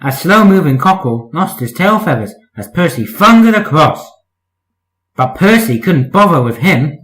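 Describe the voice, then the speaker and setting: Male storyteller, fairly high voice, very lively intonation, scripted children's narration. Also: moderate pace, low-quality recording.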